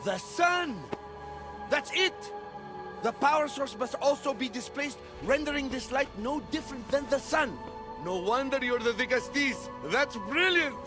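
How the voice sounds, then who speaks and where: An excitable botanist, pitched high and swooping up and down. He is an eager, rambling video game scientist who apologises readily, with music running underneath. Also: unhurried. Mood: excited. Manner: eager, wordy, jargon-laden explaining. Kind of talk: video game cutscene acting.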